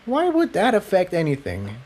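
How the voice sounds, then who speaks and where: A man plays a feisty mare from a storybook adventure. The voice is pushed well up high, suspicious and sour, snapping out sharp retorts. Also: huge pitch swings, moderate pace, exaggerated voice. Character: feisty, suspicious, sour-tempered female pony.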